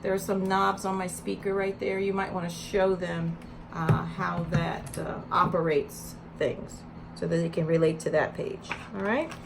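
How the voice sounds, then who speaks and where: A woman's voice: lowish voice, level tone, unhurried, gravelly, noisy background. A woman educator giving a read-aloud lesson with teaching tips, speaking in an instructional way and with emphasis when reading. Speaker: early childhood educator.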